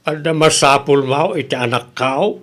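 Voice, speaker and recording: A man's voice: a little high, normal speed, a bit bright, natural voice. A man with an Ilocano Filipino accent playing a nagging, cautious rural husband who questions and admonishes in a radio comedy drama. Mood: concerned.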